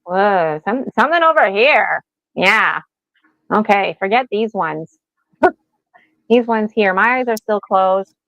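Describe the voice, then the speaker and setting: A woman's voice: mid-range voice, lively intonation, slow, pauses often, fairly bright tone, dry recording, highs cut off. A woman in her forties giving a casual oracle card reading, chatty and rambling, laughing often and acting out little voices. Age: 40s.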